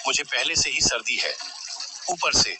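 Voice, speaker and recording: A man's voice: mid-range voice, animated, normal speed, close to an everyday voice. A man voicing a cartoon story narrator in a scripted, grave style. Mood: dramatic narration. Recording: loud rain and water noise. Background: rain sound effect.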